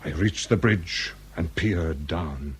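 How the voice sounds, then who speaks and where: A man's voice: low-pitched, takes it slowly, husky, full character voice. A man playing a grave lord in his sixties, speaking formally and ornately in a period radio drama. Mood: ominous. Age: 60s. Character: grave aristocratic lord past sixty, confessing a dark secret.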